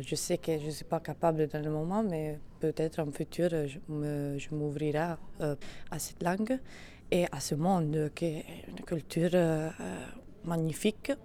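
A woman's voice: sits low, mellow, a little hiss or hum. A young woman from Italy, a language student, speaking French with an Italian accent, hesitantly searching for words.

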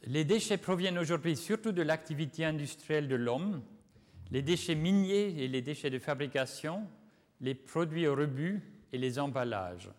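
Man from Switzerland, non-native speaker, slightly higher voice, measured lecture delivery, long hall reverb. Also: expressive. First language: not French. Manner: measured, deliberate, with occasional slips. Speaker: guest lecturer. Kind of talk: conference lecture.

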